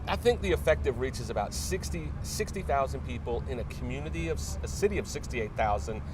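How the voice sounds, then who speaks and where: A middle-aged man tells stories casually as an interview guest, with a halting, hesitant flow and an average-pitched voice. He sounds some way from the mic, with clear noise rumbling behind him. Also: lively intonation, recorded from a distance. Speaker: interview guest, newspaper media director. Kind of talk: casual anecdotal storytelling.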